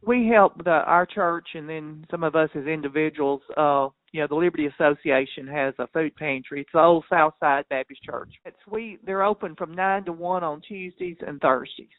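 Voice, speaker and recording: A man's voice: a little high, moderate pace, few pauses, no echo. A man who farms cattle, chatting casually and ramblingly on a phone call in a plain-spoken, folksy way, over a tinny line. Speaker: local cattle farmer.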